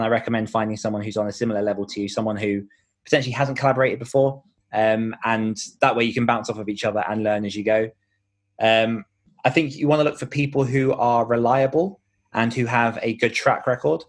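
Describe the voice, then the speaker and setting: Male author as podcast guest, mid-pitched voice, encouraging and explanatory, conversational delivery.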